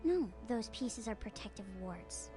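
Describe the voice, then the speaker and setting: A woman's voice: on the high side, very animated, a little slow, warm tone, soft background music. A woman playing a reserved young woman in a visual novel, speaking in short, composed lines. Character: reserved young woman who quietly admires ancient art.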